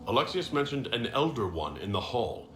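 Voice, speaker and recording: An actor playing a steady, plain-spoken heroic leader in a video game cutscene. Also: male voice, medium pitch, moderate pace, echoey room, background noise, natural voice. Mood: questioning. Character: resolute male fantasy hero, plain-spoken leader.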